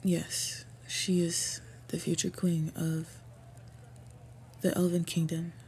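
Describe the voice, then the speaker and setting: A woman playing an earnest, respectful young orc princess in a fantasy roleplay audio, speaking softly and haltingly with tender pauses. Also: fairly low pitch, monotone, slight background noise. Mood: pleading, nervous.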